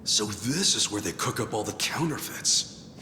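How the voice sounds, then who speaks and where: An actor playing a determined, earnest, rough-edged hero in a game cutscene, direct and colloquial. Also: male voice, on the low side, lively intonation, normal speed, noisy background, lightly acted. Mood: curious. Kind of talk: dramatic game cutscene acting.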